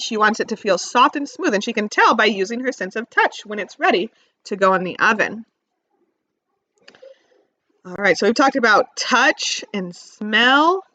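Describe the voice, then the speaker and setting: Woman, higher voice, animated read-aloud teacher, instructive, questioning young listeners, slightly muffled dry recording. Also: dry recording. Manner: expressive, instructive, emphasizes key words, pauses to ask simple questions.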